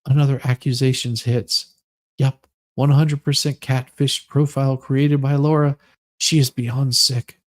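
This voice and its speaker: A man hosting a livestream, moving between casual chat and mock-dramatic readings with theatrical, drawn-out emphasis. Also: medium pitch, little pitch movement, no room sound. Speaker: livestream host.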